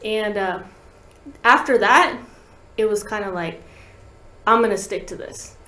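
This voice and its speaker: Woman, 30s, mid-pitched, casual slangy storyteller, musician guest, roomy studio. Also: a little slow. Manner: slangy, animated storytelling with quoted dialogue. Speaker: guest musician, talk box player. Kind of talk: casual interview chat, telling anecdotes. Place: small podcast studio, indoors. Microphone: desk microphone.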